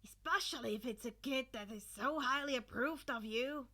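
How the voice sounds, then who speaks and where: Woman voicing an exuberant, loud, bright teasing husband. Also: high-pitched, normal speed. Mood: earnest, pleading. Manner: emphatic, excitable, dramatic. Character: exuberant, teasing blond husband, a male teacher.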